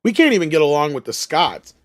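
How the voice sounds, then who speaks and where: A man with Irish ancestry bantering bluntly, swearing and ranting loudly for laughs. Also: fairly high pitch, big pitch swings, fast, dry recording. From Irish-American. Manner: blunt, swearing, loud jokey rants. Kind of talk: casual banter.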